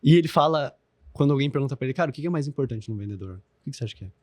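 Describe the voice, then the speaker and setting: A man in his twenties with a Brazilian Portuguese accent talks quickly on a podcast. He is slangy and swears freely, piling on fillers. The sound is dry and close. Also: mid-range voice, expressive, darkish tone, no echo. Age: 20s. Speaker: podcast guest, business founder. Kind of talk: casual podcast chat.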